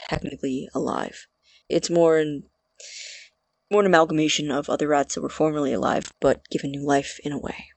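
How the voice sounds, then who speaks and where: An actor playing an evasive, pedantic young student experimenter, explaining in halting, formal phrases in a naturalistic audio drama. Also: medium pitch, dry recording, natural voice. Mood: hedging, pedantic.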